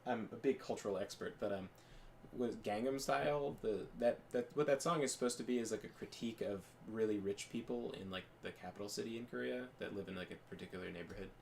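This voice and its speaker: A man's voice: on the low side, quick pace, vocal fry, a little mellow, a little hiss or hum. A young man chatting casually as a podcast co-host, rambling with lots of fillers and restarts. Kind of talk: casual chat while gaming.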